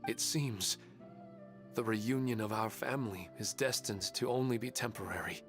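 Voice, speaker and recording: An actor playing an ordinary middle-aged father, speaking softly, earnest and wistful. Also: on the low side, moderate pace, faint music behind, natural voice.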